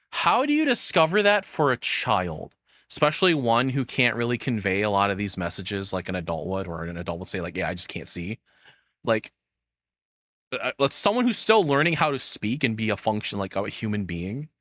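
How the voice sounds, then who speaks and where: A man's voice: fairly low pitch, pushed and bright, no echo. A man giving casual live reaction commentary as a streamer, rambling and swearing often, close to the microphone.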